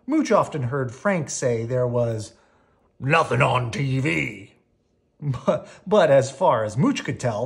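A bedtime story read aloud slowly and expressively. The pitch swings widely, with a theatrical, playful delivery and deliberate pauses, at a middle pitch. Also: gravelly. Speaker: storybook reader.

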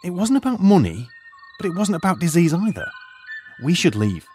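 An actor playing a retired archaeologist turned high school teacher, measured and explanatory in an audio drama. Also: male voice, very animated, darkish tone, breathy edge, faint music behind, full character voice. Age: older.

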